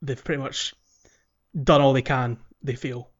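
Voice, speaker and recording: A man's voice: medium pitch, steady tone, unhurried, dull audio. A man co-hosting a casual gaming podcast, talking in an informal, loosely hesitant way with British slang. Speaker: podcast co-host.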